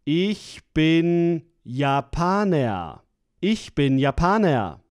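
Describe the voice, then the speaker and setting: A man's voice: fairly high pitch, very animated, drawn-out words, clean tone, dry recording, clean studio sound. A man reading phrases for a language course, splitting and repeating them with careful enunciation. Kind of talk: slow, clear phrase-by-phrase repetition.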